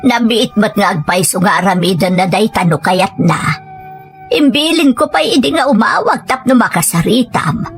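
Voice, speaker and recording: An actress plays a middle-aged provincial aunt in an audio drama. She has an average-pitched voice and a northern Philippine accent. Her delivery is earnest and gently scolding, with quiet music underneath. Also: expressive, normal speed, lightly acted. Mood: reassuring. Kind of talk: amateur audio drama acting.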